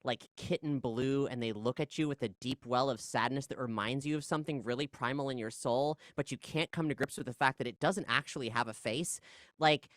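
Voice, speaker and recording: A podcast guest musing philosophically in casual conversation, speaking in a discursive, halting way with emphatic turns. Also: mid-range voice, a bit bright, no echo, studio quality.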